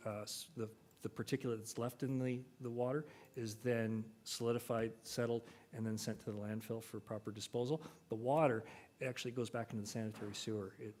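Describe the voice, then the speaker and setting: A man presenting technical details at a public meeting, measured and full of fillers. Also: mid-range voice, a little mellow, slight background noise. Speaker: bridge authority official.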